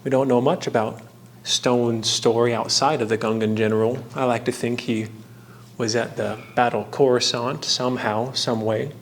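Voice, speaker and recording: Casual man narrating over clear background noise. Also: medium pitch, steady tone, normal speed. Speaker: host of a hobby craft video. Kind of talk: casual tutorial narration with fun facts.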